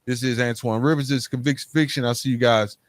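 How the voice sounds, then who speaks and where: A man hosting an interview podcast, speaking in a halting way as he searches for words. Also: medium pitch, fairly even intonation. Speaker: podcast host. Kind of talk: hosting an interview podcast, wrapping up with calls to action.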